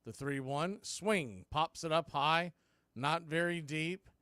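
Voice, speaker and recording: A man's voice: on the high side, takes it slowly, pushed and bright, clear, resonant tone, no room sound, studio quality. A man giving live baseball play-by-play commentary in the style of a radio broadcast.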